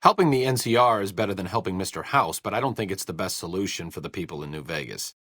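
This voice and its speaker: An actor playing a sarcastic, idealistic wasteland doctor in a video game, with an American accent and a dry, articulate manner. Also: male voice, low voice, animated, quick pace, fairly bright tone, no echo. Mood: earnest. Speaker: video game companion character. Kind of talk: video game voice acting, conversational dialogue.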